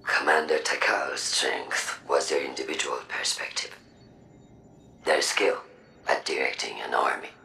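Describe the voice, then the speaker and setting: A woman playing an imperious machine-mind villain in a video game, grandiose and coldly persuasive, in a breathy, processed voice. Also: a bass voice, fairly even intonation, bright, forceful tone, slight background noise, heavily acted. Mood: coaxing, lofty. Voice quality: breathy, whispery, gravelly. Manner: measured, grandiose, deliberate. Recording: echoing, distant, with music behind.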